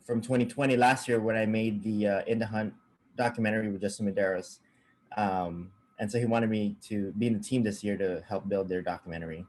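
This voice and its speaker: A young man from Saipan, a cameraman, talking casually as a guest on a video call, halting with many fillers and restarts. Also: on the low side, fairly even intonation, darkish tone.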